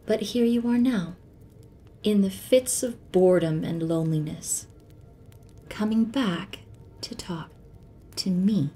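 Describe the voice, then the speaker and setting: Woman acting a mellow, unhurried, sly moon goddess. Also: lightly acted. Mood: sly, coaxing. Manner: teasing, sardonic, composed, breathy asides. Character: sly imprisoned moon goddess, taunting scornful wife. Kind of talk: ASMR roleplay audio drama. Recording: faint noise, low rumble behind voice.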